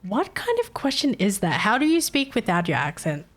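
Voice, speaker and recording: A woman's voice: mid-range voice, wildly swooping pitch, normal speed, soft background music. Giggly Filipino-accented young woman streamer. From Philippines. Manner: laughs often, teasing and playful with chat. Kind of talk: casual live-stream chat.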